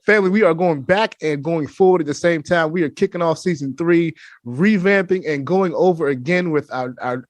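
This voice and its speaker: A man's voice: on the high side, no echo. A man hosting a podcast from his home studio, talking with hyped energy and repeating himself as he calls his listeners family. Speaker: podcast host. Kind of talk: casual interview chat.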